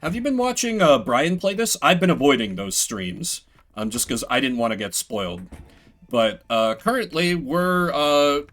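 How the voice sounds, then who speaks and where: A man's voice: a little high, big pitch swings, normal speed, a bit bright. A man giving casual live game commentary as a streamer, rambling with playful, self-deprecating asides.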